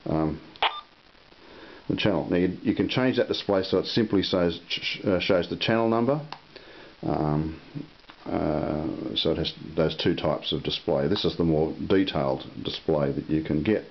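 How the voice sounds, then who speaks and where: A man giving a casual, unscripted product demonstration in a halting, unhurried way. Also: sits low. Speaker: product reviewer. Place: indoor room at a table. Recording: muffled lo-fi audio with hiss.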